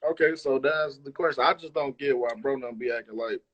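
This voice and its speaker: A man's voice: mid-range voice, a little fast, fairly bright tone, lo-fi sound. A man chats casually as an interview guest, using heavy slang and profanity and repeating himself for emphasis. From Chicago, United States. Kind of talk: casual chat.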